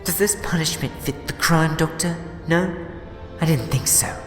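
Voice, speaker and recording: A man's voice: on the high side, moderate pace, natural voice. A man playing a scheming, mischievous and theatrical villain in a sci-fi fan audio drama, mocking in manner. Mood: menacing, gloating. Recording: echoey reverberant hall, music underneath.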